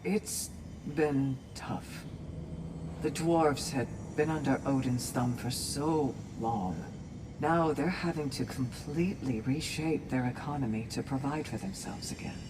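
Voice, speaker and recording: An actress playing a composed, earnest female warrior in a video game, speaking in a measured, solemn, articulate way. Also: rumbling low, background noise. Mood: sober. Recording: distant from mic, music behind.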